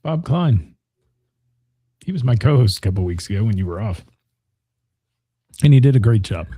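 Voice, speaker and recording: A man's voice: on the low side, expressive, moderate pace, mellow, husky, dry recording. A man co-hosting a radio talk show, chatting casually and reading listener comments, joking and rambling while losing his voice.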